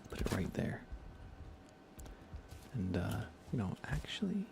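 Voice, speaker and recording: Man, low voice, soft near-whisper, creaky, unhurried casual ASMR game stream, dry humor. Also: big pitch swings, noisy background. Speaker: live streamer, host. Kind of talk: relaxed ASMR gaming livestream commentary.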